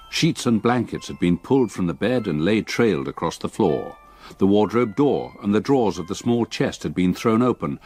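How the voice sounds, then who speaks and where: An actor playing a haunted English gentleman who narrates his ordeal in a grave, measured, literary style for radio drama. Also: deep voice, husky.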